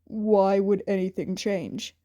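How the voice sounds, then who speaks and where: A woman voicing a gruff, reluctant young man with a terse, offhand manner in a story. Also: mid-range voice, fairly even intonation, takes it slowly, dark tone, voice pushed down, lightly acted.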